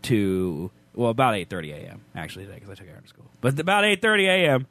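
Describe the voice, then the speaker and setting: A man hosting a podcast, telling stories in animated, chatty banter on a close mic. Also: lowish voice, fast, no echo. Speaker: podcast host.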